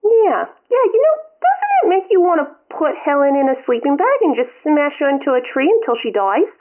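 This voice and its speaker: A woman's voice: high voice, sing-song, normal speed. A woman voicing a melodramatic, guilt-tripping elderly mother calling her son from a retirement home, over a phone line. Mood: nagging. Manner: melodramatic, whiny, self-pitying.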